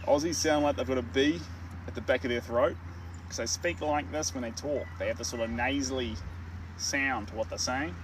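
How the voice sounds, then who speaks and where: A man's voice: mid-range voice, normal speed. A young man with a New Zealand accent, an artist chatting casually to viewers during a live stream, rambling and self-mocking. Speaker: artist livestreaming. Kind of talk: casual live chat while painting. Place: outdoors. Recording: distant mic, steady outdoor and traffic noise.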